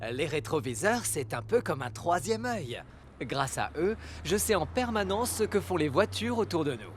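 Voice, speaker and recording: A man's voice: fairly high pitch, big pitch swings, normal speed, a little hiss or hum. A man playing a cautious, patient father who explains things in a children's cartoon. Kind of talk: French-dubbed children's cartoon.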